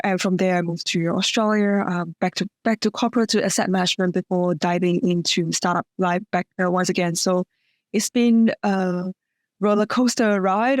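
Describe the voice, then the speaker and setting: A woman's voice: mid-range voice, no room sound, studio quality. Singaporean woman chatting as podcast guest. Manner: chatty, uses "like" and "um" fillers. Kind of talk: conversational interview answers.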